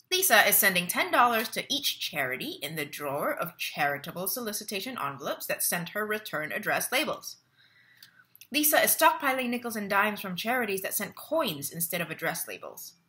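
A woman's voice: a little high, sharp tone. A young woman acting a stage monologue as a lonely, wry, restless woman reading out her online status updates. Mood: agitated, wry. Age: young adult. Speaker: actor in a staged play reading. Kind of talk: dramatic monologue read as social media status updates. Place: living room at home. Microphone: laptop webcam at close range.

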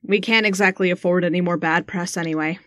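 A woman's voice: fairly low pitch, steady tone, fast, no echo, clean studio sound, close to an everyday voice. A woman playing a brash, sarcastic young woman posing as a planetary ruler in a science fiction audio drama, talking in breezy slang. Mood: eager, joking.

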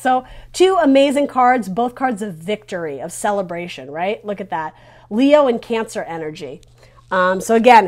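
A woman in her thirties giving a casual tarot reading, talking informally and reassuringly as she thinks aloud. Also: on the high side. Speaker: tarot reader hosting a video reading. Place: small decorated room indoors. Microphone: lavalier clipped to T-shirt.

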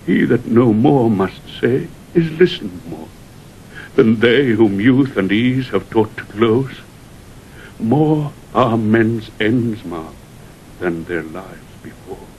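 A man's voice: animated, takes it slowly, darkish tone, low-quality recording. An actor playing a dying old nobleman, solemn and prophetic, declaiming weighty Shakespearean verse in an audio drama. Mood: weary, solemn. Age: older. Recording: vintage 1960 recording with faint rumble.

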